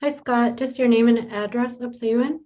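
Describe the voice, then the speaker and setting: A woman chairing a remote public hearing who briskly invites comments in an informal way. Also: a little high, steady tone, dark tone. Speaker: meeting chair. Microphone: video call.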